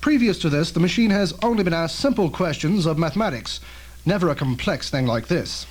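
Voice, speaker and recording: Man playing a high-voiced, earnest scientist. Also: animated, brisk. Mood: explaining. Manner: formal, precise, explanatory. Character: earnest, cautious male scientist, a doctor. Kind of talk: old-fashioned radio drama acting. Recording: noisy old tape with hum.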